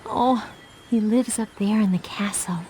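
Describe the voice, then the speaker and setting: A woman voicing a sweet, dreamy and anxious teenage mermaid princess for an animated game, with gasps and sighs. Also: medium pitch, measured pace, slight background noise, natural voice, poor audio. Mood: wistful, secretive.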